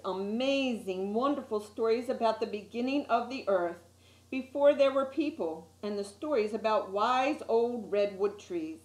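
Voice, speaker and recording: A woman reading a children's picture book aloud with dramatic, deliberate emphasis on key words. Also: a little high, darkish tone. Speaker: storytime reader. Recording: roomy, echoey sound.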